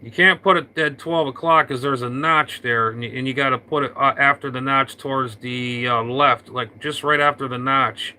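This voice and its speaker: A man chatting casually over his radio, rambling and halting with lots of fillers and restarts, talking into an old hand mic. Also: medium pitch, fairly even intonation, pushed and bright, a little hiss or hum, low-quality recording. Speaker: radio operator. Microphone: vintage hand mic on a radio.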